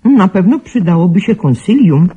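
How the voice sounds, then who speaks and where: Husky, suspicious concerned woman. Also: fairly low pitch, very animated, moderate pace, warm tone, lo-fi sound, heavily acted. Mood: worried, suspicious. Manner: questioning, insistent. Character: concerned, suspicious woman questioning a patient's care. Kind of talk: radio drama dialogue.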